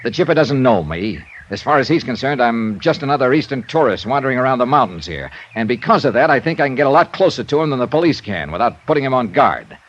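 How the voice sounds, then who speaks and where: An actor playing a tough, steady American investigator, matter-of-fact and persuasive, in old-time radio drama style. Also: male voice, mid-range voice, fast, few pauses. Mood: firm, urgent. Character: tough, steady male insurance investigator hero. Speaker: lead and narrator. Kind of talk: old-time radio drama acting, first-person detective narration. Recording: muffled old radio recording.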